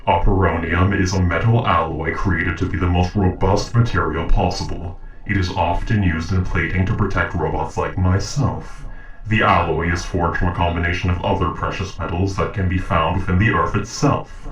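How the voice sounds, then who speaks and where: A man playing a calm, logical sentient robot in an audio roleplay, speaking in formal, measured, precise sentences. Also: sits low, roomy sound, distant mic, muffled recording, close to an everyday voice. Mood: explanatory, even. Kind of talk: dramatic audio roleplay acting.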